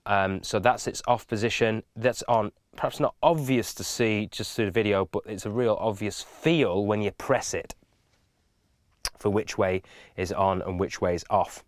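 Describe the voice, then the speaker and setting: A man's voice: lowish voice, moderate pace, no room sound. Man giving methodical tutorial, dry close sound. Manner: methodical, instructive. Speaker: instructor. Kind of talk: informal step-by-step video tutorial.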